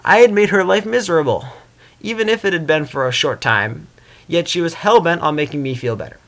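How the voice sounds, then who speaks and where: A man plays a sardonic male chaos spirit imprisoned in stone. The voice is fairly high, thinking aloud in a dry, skeptical monologue in a storybook reading. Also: expressive, fairly quick, fairly bright tone.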